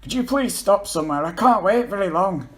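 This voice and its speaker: Man acting a distressed, high-strung young man. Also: lively intonation, a little fast. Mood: desperate, tearful. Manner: stammering, pleading, swearing. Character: distressed, tearful young man, pleading then defiant, sulky. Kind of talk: amateur dramatic reading of a story.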